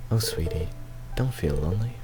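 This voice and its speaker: A man's voice: low-pitched, animated, moderate pace, heavy background noise. A man voicing a sleepy, affectionate young wife who comforts her husband, read aloud from a visual novel. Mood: tender.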